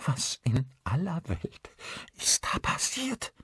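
A distraught young wife from a horror audiobook, acted by a male narrator. The voice is lifted high and husky, trembling with urgent fear. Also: huge pitch swings, slow pace, fairly bright tone, dry recording, studio quality, full character voice. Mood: trembling disbelief.

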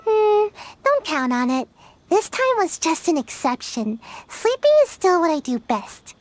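A woman voicing a sleepy, lazy young girl ninja in a video game, talking in a drowsy, soft, unhurried way. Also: very high-pitched, very animated, dry recording, heavily acted. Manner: drowsy, slow and soft-spoken.

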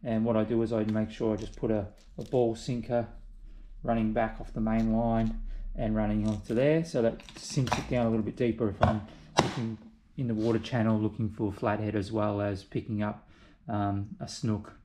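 A man, host of a fishing channel, narrating instructional tips in a steady, explanatory way. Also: on the low side, moderate pace, background noise. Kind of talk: instructional voice-over about kayak fishing spots. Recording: roomy, echoey recording.

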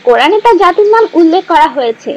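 Very high-voiced woman telling children's stories. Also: very animated, quick pace, low-quality recording. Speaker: storyteller. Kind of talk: scripted children's story narration. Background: background music.

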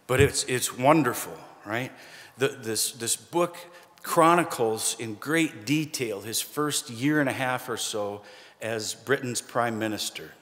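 A middle-aged male pastor delivers a sermon at a pulpit in a church sanctuary. He speaks in a conversational way with gentle humor and pauses for emphasis. His voice sits at a medium pitch and carries a long church reverb. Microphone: pulpit microphone, far camera.

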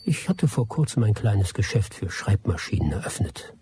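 A world-weary middle-aged trader in a radio play, speaking in a very husky, dark voice. The delivery is measured, dry and sceptical, pitched on the low side. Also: male voice, sing-song, full character voice. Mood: reflective. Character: world-weary middle-aged English expatriate typewriter dealer, gruff, sceptical. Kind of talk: radio play narration and dialogue.

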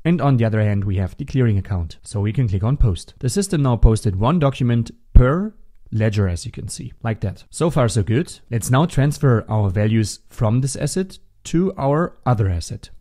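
A man narrating a step-by-step software tutorial as an instructor, in a methodical, instructional manner. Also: medium pitch, expressive, moderate pace. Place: voice-over for a screen recording.

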